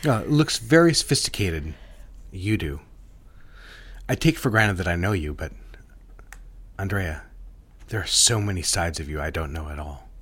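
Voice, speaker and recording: An actor playing a smooth, commanding married advertising executive of the 1960s in a romantic audio drama, speaking in an intimate, instructive way. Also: male voice, sits low, lively intonation, moderate pace, long pauses, a bit bright, heavily acted. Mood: intimate, admiring.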